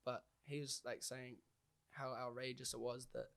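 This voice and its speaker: A man's voice: mid-range voice, flat delivery, moderate pace, vocal fry, a bit bright. Casual New Zealand man chatting. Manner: informal and slangy. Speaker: podcast guest, hip-hop artist. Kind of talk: casual conversation.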